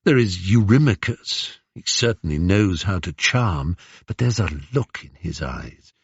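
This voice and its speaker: A man's voice: low-pitched, lively intonation, measured pace, vocal fry, breathy edge, dry recording, lo-fi sound, exaggerated voice. A man voicing a gracious, weary queen in a storybook, speaking with formal, regal courtesy. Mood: anguished. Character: gracious, weary, dignified queen, a grown woman.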